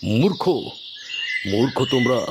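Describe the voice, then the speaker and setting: A man's voice: medium pitch, expressive, takes it slowly, very noisy. A man voicing a stern, righteous village tantric who admonishes people in a solemn, commanding way for a cartoon story. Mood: angry scolding. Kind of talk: Bengali cartoon story dialogue.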